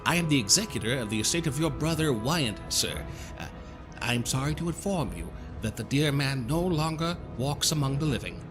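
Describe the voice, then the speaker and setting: A man playing a suave gentleman stranger in an audio drama, florid, over-polite and slyly mocking. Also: mid-range voice, animated, moderate pace, over music, close to an everyday voice. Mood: courtly, needling.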